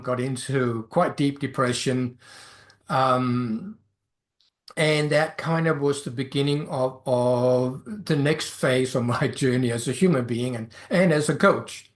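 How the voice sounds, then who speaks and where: Danish-accented middle-aged man hosting a podcast. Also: medium pitch. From Denmark. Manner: hesitant, many fillers and restarts. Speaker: podcast host and life coach. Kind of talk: solo podcast monologue.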